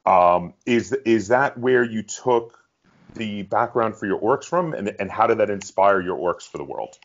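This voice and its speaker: Low-voiced male interviewer, slightly muffled sound. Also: lively intonation, a little slow. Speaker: interviewer, host. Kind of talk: casual interview conversation. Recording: dull, lo-fi audio.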